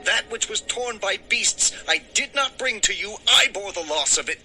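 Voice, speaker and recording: A man's voice: high voice, expressive, moderate pace, pushed and bright, faint music behind, exaggerated voice, low-quality recording. An actor playing an angry, aggrieved herdsman in a dramatized scripture recording, declaiming with impassioned force. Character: Jacob, angry, aggrieved long-serving herdsman protesting injustice. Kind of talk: dramatized audio scripture acting.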